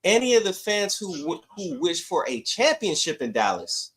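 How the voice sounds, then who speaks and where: A man's voice: high voice, sing-song, normal speed, crowd noise. A man on a sports podcast arguing casually and emphatically, often repeating phrases to drive his point home.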